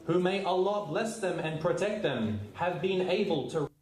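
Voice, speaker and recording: A man's voice: sits high, expressive, moderate pace, a little mellow, roomy sound. A man preaching a sermon in a formal, solemn manner.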